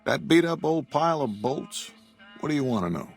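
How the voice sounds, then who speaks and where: A man's voice: a little high, big pitch swings, normal speed, faint music behind, heavily acted. A man voicing a weary old frontier shopkeeper who talks in a drawl. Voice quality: gravelly drawl. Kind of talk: video game dialogue.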